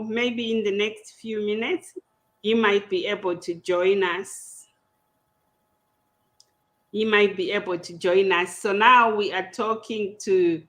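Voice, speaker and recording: Slow-speaking Zimbabwean woman host. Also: mid-range voice. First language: Shona. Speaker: podcast host. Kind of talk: conversational hosting of an online panel. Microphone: video call.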